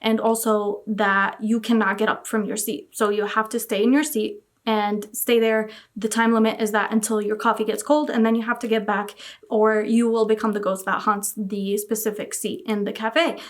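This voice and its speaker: A woman's voice: mid-range voice, level tone, normal speed. A young woman from Iraq chatting casually about books she read, gushing and repeating words like "really" and "very". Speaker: book vlogger. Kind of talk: casual book review chat.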